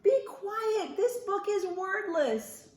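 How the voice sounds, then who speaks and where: A woman's voice: very high voice, lively intonation, takes it slowly, darkish tone, pitched above the performer's own voice, full character voice. A woman voicing a bossy, exasperated, self-important male mouse from a picture book, emphatic and scolding. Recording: roomy echo.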